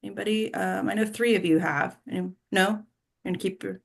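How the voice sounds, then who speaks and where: A woman's voice: medium pitch, steady tone, brisk, vocal fry. A woman hosting an actors' table read on a video call, speaking in an informal, chatty way. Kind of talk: casual hosting of an online group.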